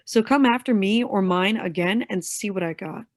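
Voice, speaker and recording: A woman's voice: medium pitch, moderate pace, no room sound, studio quality, lightly acted. An actress playing a tough, protective woman vigilante in a staged play reading. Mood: firm, defiant.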